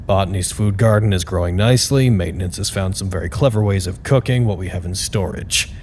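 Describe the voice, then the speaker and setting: A man's voice: deep voice, fairly quick, a little mellow, a little hiss or hum, natural voice. A man playing an earnest, candid site staffer in an audio drama, speaking a reflective and wryly formal log to unseen superiors. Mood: wry, businesslike.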